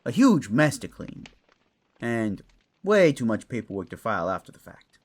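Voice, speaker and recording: A man's voice: mid-range voice, big pitch swings, moderate pace. A man playing a roguish, scholarly furred creature in a fantasy audio drama, grumbling and explaining theatrically. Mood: indignant grumbling. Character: furred, clawed male creature, a roguish scholarly artifact-tinkering steward.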